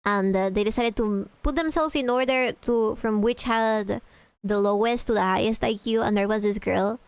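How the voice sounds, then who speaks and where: A woman's voice: medium pitch, clean tone, no echo, poor audio. A woman streamer chatting casually, profane and easily sidetracked, reacting to videos.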